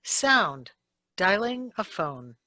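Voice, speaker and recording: A woman reading out the sound effect cues in a live radio play, announcing each one flatly. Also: medium pitch, drawn-out words, no echo, faint music behind.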